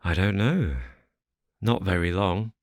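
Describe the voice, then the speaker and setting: A man's voice: sits low, slow, no echo, studio quality. A man doing a weary hardened frontiersman. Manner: rough frontier talk.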